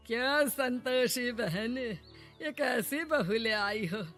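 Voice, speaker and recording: A woman's voice: on the high side, fairly bright tone. Cartoon casting: female performer as teasing male priest, jolly, gossipy, drawling delivery, faint music bed. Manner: drawn-out, chuckling, gossipy. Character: jovial teasing temple priest man. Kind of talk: comic Hindi cartoon dialogue.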